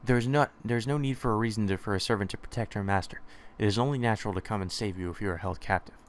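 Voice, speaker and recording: A man's voice: fairly low pitch, fast, a little hiss or hum. A man reading visual novel text aloud with casual commentary, sometimes stumbling over words and correcting himself.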